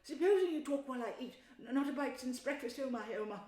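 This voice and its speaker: A woman doing a squeaky, chattering Mole. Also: quick pace. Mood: eager. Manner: chattering, high and excited. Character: eager, grumpy little male mole. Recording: roomy echo.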